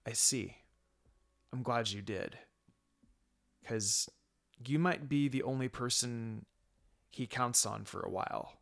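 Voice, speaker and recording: A man's voice: mid-range voice, takes it slowly, gravelly, long pauses, a bit bright. A man voices a young ninja woman in an anime-style story. She is protective and earnest, formal in her wording, polite yet fierce. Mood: grateful, soft.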